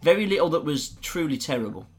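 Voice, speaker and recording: A man's voice: on the high side, lively intonation, fast talker, breathy edge. A man co-hosting a casual film podcast, joking playfully and often trailing off mid-thought. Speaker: podcast co-host.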